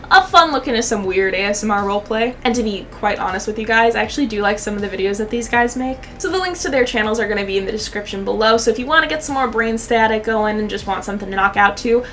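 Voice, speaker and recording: A woman's voice: fairly high pitch, a bit bright, a little hiss or hum. A young woman giving casual, sarcastic YouTube commentary in slangy, sweary language. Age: young adult.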